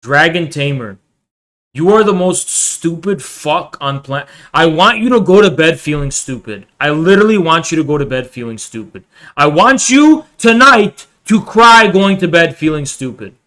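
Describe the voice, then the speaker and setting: Brief: high-voiced bright male streamer, profane ranting commentary. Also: lively intonation, normal speed. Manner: ranting, profane, repetitive mocking. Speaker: streamer reacting.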